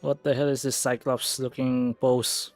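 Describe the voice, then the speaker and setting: A man's voice: mid-range voice, little pitch movement, unhurried, darkish tone, no room sound, soft background music. A man chatting casually on a game livestream, musing aloud to himself as he plays. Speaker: game streamer. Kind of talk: casual livestream gameplay chat.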